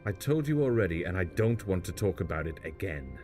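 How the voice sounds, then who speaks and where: A man's voice: deep voice, big pitch swings, a little fast, darkish tone, faint music behind. A man playing a guarded, blunt travelling companion in a sci-fi audio drama. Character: guarded, plain-spoken male travelling companion.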